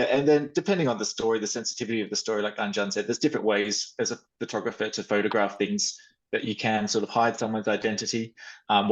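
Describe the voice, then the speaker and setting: A man's voice: fairly low pitch, rough recording. A man talking informally and a little hesitantly as a guest, explaining how he works, in an online discussion. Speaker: interview guest, photographer.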